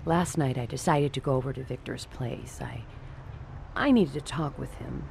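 An actress playing a lovestruck, trusting nightclub torch singer, coy and theatrical, in the manner of old-time radio noir. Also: female voice, extremely low pitch, moderate pace, creaky, a little mellow, slight background noise. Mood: earnest, uneasy.

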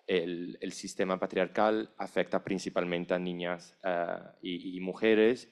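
Earnest, lower-voiced man on a panel. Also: clean tone. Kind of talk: conference presentation. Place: conference room on stage. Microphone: handheld mic.

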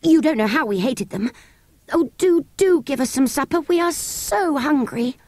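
A woman's voice: sits high, lively intonation, moderate pace, a little mellow, no room sound, pitched above the performer's own voice. A woman voicing an earnest, pleading young girl in a children's storybook reading. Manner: pleading, eager, emphatic.

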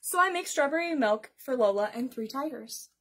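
A woman's voice: high voice, animated, normal speed, a bit bright. A young woman hosting a library storytime, reading a picture book aloud expressively and putting questions to young listeners. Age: young adult. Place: small bedroom indoors.

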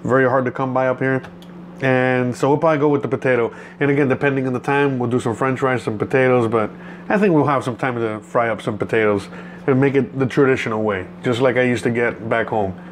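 A man's voice: medium pitch, a little fast. A man in his thirties from Cuba chats casually and ramblingly as he hosts a home cooking vlog. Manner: easygoing, rambling. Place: home kitchen, indoors. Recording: noticeable background noise in a kitchen.

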